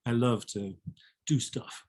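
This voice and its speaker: A man's voice: medium pitch, takes it slowly, darkish tone. A man with a mid-range voice talks in a casual, unscripted chat. He is candid about himself and tends toward self-deprecation, like a co-author opening up in a personal conversation.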